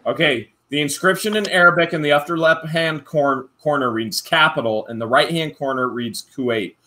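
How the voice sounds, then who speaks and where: A man co-hosting a casual vlog, opening fan mail and reading letters aloud with playful, animated jokes. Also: a little high, moderate pace. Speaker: channel co-host.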